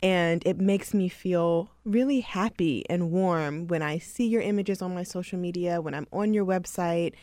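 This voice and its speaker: A woman's voice: on the low side, normal speed, few pauses, warm tone, no room sound, clean studio sound. A woman hosting a podcast, interviewing a guest in an encouraging, affirming way. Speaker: podcast host.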